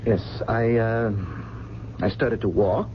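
A man's voice: fairly low pitch, fairly even intonation, takes it slowly. An actor playing a polite, well-bred man who is lost and bewildered, speaking hesitantly and formally in a radio drama. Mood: groping, haunted. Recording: dull, noisy vintage radio broadcast.

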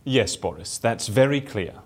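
A man's voice: mid-range voice, expressive, normal speed, lightly acted. A man acting in an audio drama as a bored, boastful, theatrical raconteur who talks chattily and self-importantly. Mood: puzzled, confident. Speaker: lead character in audio fiction.

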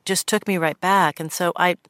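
A woman journalist with a low voice, reminiscing in a radio studio interview. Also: steady tone, moderate pace, a bit bright, no echo. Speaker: journalist and podcast host, as guest. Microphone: studio microphone.

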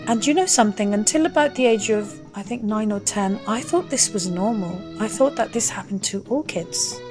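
A woman's voice: medium pitch, animated, normal speed. Woman reminiscing, music behind. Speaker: interview guest, singer. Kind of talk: personal reminiscence in conversation.

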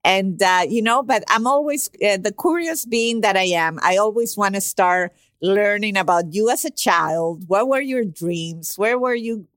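A woman's voice: mid-range voice, a little slow, hardly any pauses, fairly bright tone, dry recording, studio quality. A woman hosting a podcast interview, enthusiastic and chatty, with many fillers. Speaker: podcast host.

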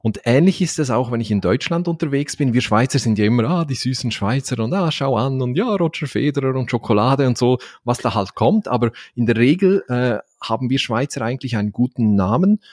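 A man's voice: mid-range voice, animated, brisk, hardly any pauses, darkish tone, no room sound. Swiss man, dry close sound. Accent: Swiss Standard German. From Switzerland, Valais. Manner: thoughtful, frequent "äh" fillers, occasional laugh. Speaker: seminar trainer and speaker. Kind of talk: podcast interview.

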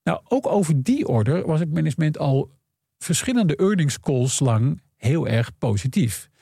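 A man's voice: fairly high pitch, very animated, normal speed, creaky, mellow, slightly rough, dry recording. Man explaining in a dry-sounding room. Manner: acts out imagined dialogue while explaining. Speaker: guest analyst explaining earnings calls. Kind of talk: conversational explanation with anecdotes.

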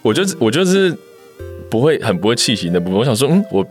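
A man's voice: mid-range voice, very animated, quick pace, faint music behind. A man with a Taiwanese accent co-hosting a casual chat, self-deprecating and often repeating his words. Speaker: podcast co-host.

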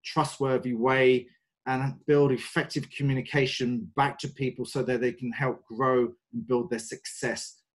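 A man in his forties with a London English accent, a coach speaking as a podcast guest, thoughtful and hesitant with many pauses and fillers. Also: medium pitch, slightly rough. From North London, England. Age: 40s. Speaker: guest, sales and mind coach. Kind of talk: podcast interview.

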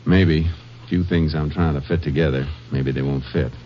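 An actor playing a steady, thoughtful Texas Ranger investigator, terse and matter-of-fact, in an old-time radio drama. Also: male voice, extremely low pitch, moderate pace, a little hiss or hum. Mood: thoughtful, businesslike. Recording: muffled vintage radio recording.

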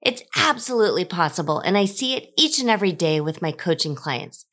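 A woman's voice: lowish voice, lively intonation, brisk, a bit bright. An American woman hosting a podcast in a conversational, effusive and self-deprecating way. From United States. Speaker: podcast host.

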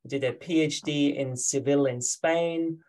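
A man's voice: a little high, fairly even intonation, unhurried, a little mellow. A man from Germany with a German accent, a guest on a podcast, talking in a friendly, hesitant way. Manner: hesitant, many fillers and restarts, friendly. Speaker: guest, ultra runner. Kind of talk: podcast interview.

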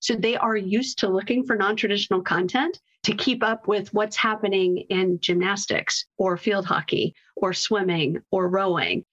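A woman's voice: medium pitch, steady tone, normal speed, few pauses, a bit bright, highs cut off. A woman answering conversationally as a podcast interview guest. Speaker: podcast guest, marketing executive.

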